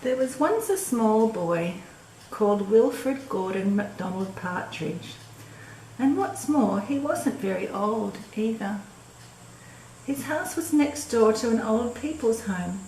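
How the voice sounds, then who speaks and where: An older woman reading a children's storybook aloud in a measured, expressive way. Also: fairly high pitch. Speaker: storyteller reading a picture book. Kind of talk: scripted storybook narration for children. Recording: roomy echo with clear background noise.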